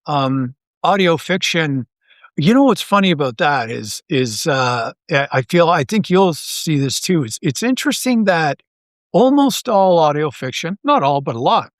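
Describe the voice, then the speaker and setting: A man's voice: a little high, expressive, unhurried, no room sound, studio quality. A man speaking casually as an interview guest, thinking aloud in a rambling way with false starts and repeated words. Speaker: interview guest, audio drama writer and creator.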